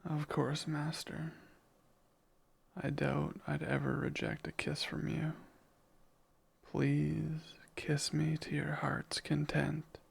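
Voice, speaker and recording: Husky, gravelly demon lover, acted by a man. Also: fairly high pitch, flat delivery, slow, heavily acted. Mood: devoted, tender. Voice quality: husky, breathy with heavy creak. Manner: formal, courtly, murmuring. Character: devoted, yearning male demon servant bound to his master. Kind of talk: intimate ASMR romance roleplay.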